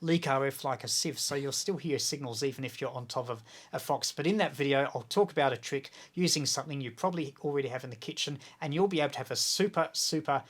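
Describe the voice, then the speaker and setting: Male interview guest, Australian accent, middle-pitched voice, keen hands-on explainer in relaxed conversation. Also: fast talker, few pauses. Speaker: guest, amateur radio video maker and author. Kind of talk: casual interview, explanatory chat.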